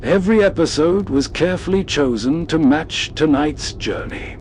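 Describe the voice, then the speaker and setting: A man's voice: fairly high pitch, animated, normal speed, a little mellow, a little hiss or hum. A man narrating as host in a scripted, nostalgic storytelling style.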